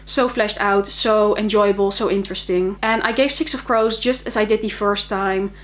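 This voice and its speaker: A woman's voice: mid-range voice, steady tone, normal speed, fairly bright tone. A young woman from the Netherlands with a Dutch accent, chatting casually and enthusiastically about books she read, with frequent fillers. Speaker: book vlogger. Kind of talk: casual monthly reading wrap-up.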